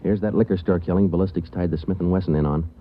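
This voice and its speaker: An actor playing a terse police sergeant who narrates his case in a 1940s radio drama, clipped and deadpan. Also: low voice, fast talker, slight background noise, close to an everyday voice. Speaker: narrator and detective. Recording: muffled 1940s radio with music under.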